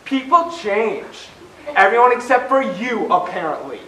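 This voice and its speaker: A man's voice: high-pitched, big pitch swings, measured pace, bright, forceful tone, exaggerated voice. A young man acting a defensive, indignant character in an amateur one-act stage play. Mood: indignant, angry. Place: indoor stage, recorded from a distance. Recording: echoey stage, distant mic, faint noise.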